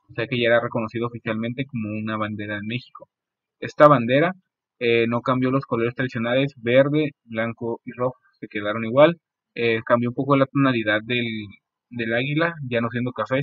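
A man's voice: mid-range voice, no room sound. Young man presenting, tinny phone-like audio. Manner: halting, often repeats phrases. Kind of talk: informal school-style history presentation over slides. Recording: muffled, phone-like narow band, dry.

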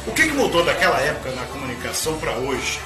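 A man with a Brazilian Portuguese accent asking an interviewee lively, prodding questions. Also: a little high, quick pace. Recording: echoey room with music underneath.

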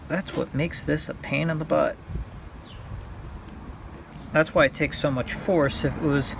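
A man talking through a hands-on repair tutorial in a halting, unscripted way, thinking aloud as he works outdoors. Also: a little high. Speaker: hobbyist demonstrating a repair. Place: outdoors by a car on a windy day. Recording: clear outdoor background noise.

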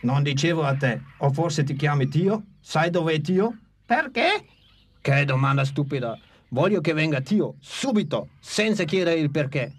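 An actor dubbing a stern, commanding male dinosaur father in a cartoon, gruff and scolding. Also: on the high side, lively intonation, measured pace.

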